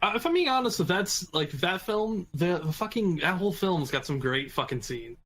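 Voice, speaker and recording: A man chatting casually as a podcast panelist, halting and repeating himself, with casual swearing. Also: high voice, very animated, fairly bright tone. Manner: halting, repetitive, casually profane. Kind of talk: casual chat.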